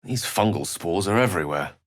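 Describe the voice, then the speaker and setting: A man's voice: low-pitched, animated, brisk, dry recording, clean studio sound. A man playing an eloquent, bookish video game wizard, wry, proud and courteous, with an articulate, theatrical and refined delivery. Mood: grave. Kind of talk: video game character acting.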